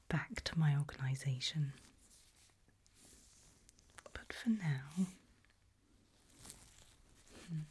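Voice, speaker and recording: A woman speaking in a gentle, breathy, unhurried whisper, performing an ASMR roleplay as a calm, caring mortician. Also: deep voice. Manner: gentle, unhurried, soothing murmur. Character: calm, caring mortician tending the soon-to-be reanimated dead.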